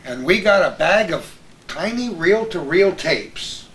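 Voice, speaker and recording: A man's voice: high voice, lively intonation, slow, a bit bright, reverberant, distant mic, slight background noise, low-quality recording. An American man, middle-aged or older, hosting a conversation and telling stories from memory. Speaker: host. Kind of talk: reminiscing conversational storytelling.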